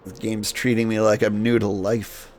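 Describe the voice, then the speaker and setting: A man's voice: lowish voice, flat delivery, moderate pace, darkish tone. A man giving casual, wry and sarcastic commentary while hosting a game playthrough. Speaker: gameplay host.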